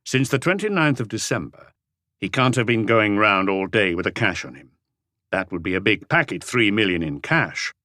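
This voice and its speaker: A man voicing a calm, dogged police chief inspector in an audiobook, measured and laconic. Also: lowish voice, dry recording, studio quality. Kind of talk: audiobook character voice.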